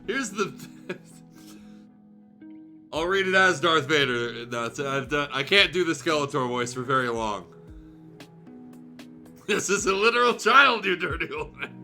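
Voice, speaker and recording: A man's voice: fairly high pitch, expressive, measured pace, pauses often, sharp tone. A man streaming a fan fiction reading, narrating the story with casual, irreverent, sweary asides. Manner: crude, joking, sweary. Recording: music behind the voice.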